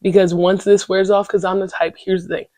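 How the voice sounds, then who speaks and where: A young woman talks through her week on her own podcast in a loose, slangy ramble, leaning on "you know what I'm saying" as she goes. Her voice is warm and relaxed, sitting in a middling pitch. It is recorded close and dry, with no room sound around it. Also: brisk, no echo. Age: young adult. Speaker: podcast host. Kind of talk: casual solo podcast chat.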